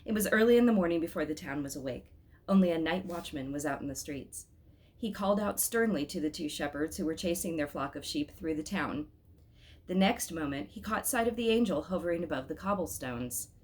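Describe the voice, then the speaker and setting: A woman reading a storybook aloud as an expressive narrator. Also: sits low, brisk. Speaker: storybook reader.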